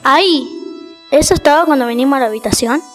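A woman playing an excitable, easily frightened schoolchild in a radio play. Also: high voice, fast talker, faint music behind, natural voice. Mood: uneasy.

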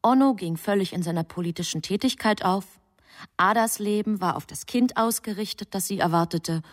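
A woman playing a heavenly messenger who narrates human affairs in a radio drama, in a measured, literary manner. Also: mid-range voice, natural voice. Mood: measured, reflective. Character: female heavenly messenger calmly recounting human love affairs.

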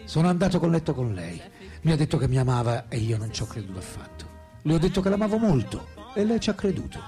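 A man's voice: a little high, very animated, fast, warm tone, faint music behind. An actor playing a reflective older philosopher in a radio drama, recalling his youth in a musing, candid, hesitant way. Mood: confiding.

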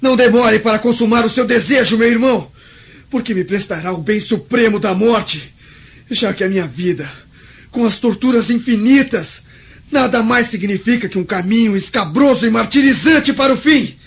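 An actor with a Brazilian accent playing a tormented, noble Roman brother, solemn and declamatory in radio drama style. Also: male voice, high-pitched, moderate pace, slightly rough. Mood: pleading. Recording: muffled radio drama with music.

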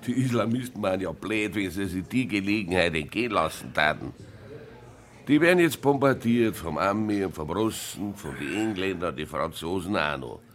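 Deep bavarian stammtisch know-it-all, smug n suspicious, very lively intonation, radio drama. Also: male voice, moderate pace. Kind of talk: German radio crime play dialogue.

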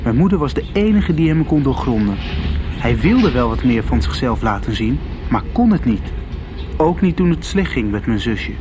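An actor playing a reflective, doubting young man, narrating a radio soap in a measured way. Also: medium pitch, background noise, close to an everyday voice. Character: reflective, doubting young man recalling his search for truth. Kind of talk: 1990s Dutch radio drama narration.

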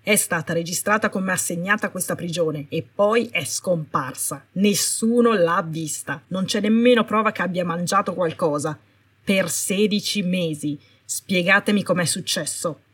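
A woman's voice: on the low side, moderate pace, fairly bright tone, husky. A woman voicing a stern, indignant healer in authority, cold and threatening, speaking in an accusing, commanding, clipped manner. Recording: roomy, distant microphone.

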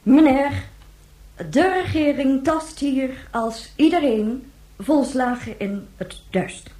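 An actress playing a grave, controlled government spokeswoman in a radio drama, speaking formally and with measured emphasis. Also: high-pitched, mellow. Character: grave, controlled female government official facing a press interview. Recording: roomy, echoing radio drama recording.

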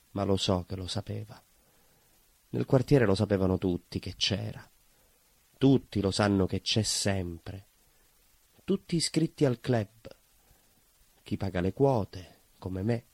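An actor playing an anguished, naive baker with a southern Italian accent, confessing his shame in an intense, halting theatrical monologue full of obsessive repetition. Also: on the low side, darkish tone, no room sound, full character voice. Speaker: stage actor.

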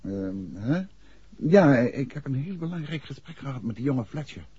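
An actor playing a calm, probing gentleman detective in a 1960s Dutch radio drama. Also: mid-range voice, moderate pace, husky. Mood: musing. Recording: dull 1960s radio recording.

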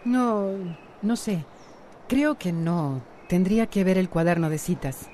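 An independent woman worn by a divorce in a Latin American radio soap. Her voice sits on the low side for a woman. There is clear background noise around her. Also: expressive, slow, dark tone. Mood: polite, guarded. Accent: Latin American Spanish. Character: Amanda, independent, distressed woman going through divorce. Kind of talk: radio soap opera acting.

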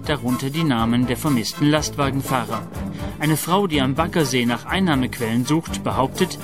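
A male announcer reads a scripted radio serial recap. His voice sits at a medium pitch, with music clearly playing behind it.

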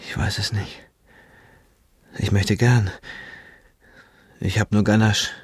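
An actor in a radio drama playing a feverish, melodramatic young bohemian vagabond who speaks with solemn, pleading theatricality. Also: male voice, on the low side, flat delivery, slow pace, slightly rough, no echo, clean studio sound. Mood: weary, bitter.

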